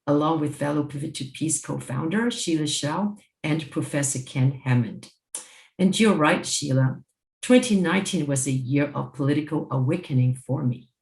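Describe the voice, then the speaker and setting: A mature woman from Hong Kong giving a scripted panel speech in a formal, deliberate, emphatic manner. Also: deep voice, darkish tone. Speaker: panel speaker, former judge. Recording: roomy, echoing sound.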